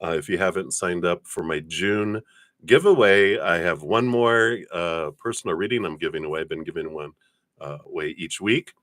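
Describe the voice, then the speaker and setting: A man with a very low voice and congested sinuses greets his viewers in loose, rambling chat, often clearing his throat. He sounds close and dry, with no room around him. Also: animated, moderate pace, a bit bright, no room sound. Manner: friendly, rambling, frequent fillers and throat clearing. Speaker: tarot reader hosting a livestream. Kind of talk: casual livestream chat.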